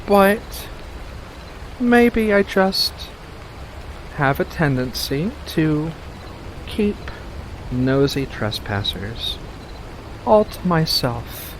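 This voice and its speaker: A man voicing a playful, coy female witch with faint menace in a soft-spoken ASMR roleplay, speaking in a coaxing, deliberate way with pauses. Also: fairly high pitch, sing-song, noisy background, voice pushed up, full character voice. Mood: coy, musing.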